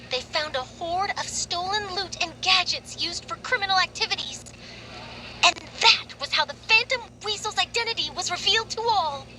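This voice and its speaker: Very high, excitable young reporter. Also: female voice, background noise. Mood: dramatic recounting. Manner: chatty, dramatic, theatrical storytelling. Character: excitable, self-assured young female newspaper journalist, eager for scoops. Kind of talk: video game voice acting. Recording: voice over game music. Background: game music.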